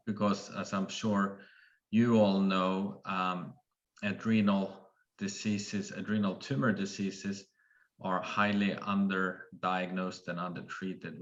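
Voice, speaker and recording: A middle-aged man from Sweden with a Swedish accent, giving a webinar presentation as a surgeon in a halting way, full of fillers and restarts. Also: low-pitched, highs cut off. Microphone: video call.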